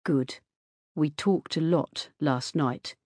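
Woman voicing a low, quiet, serious man. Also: slow, slightly rough, no echo, studio quality, full character voice.

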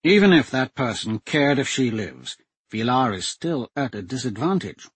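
A calm male swordsman laying out his reasoning step by step, as a man voices him. The tone is lively and a little breathy, recorded close and dry. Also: mid-range voice, normal speed, dry recording. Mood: explaining. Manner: patient, explaining.

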